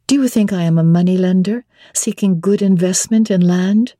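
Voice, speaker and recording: A woman's voice: lowish voice, normal speed, warm tone, no room sound, clean studio sound, natural voice. A woman playing a spirited, frank young bride-to-be with a playful, challenging manner in a dramatic novel reading.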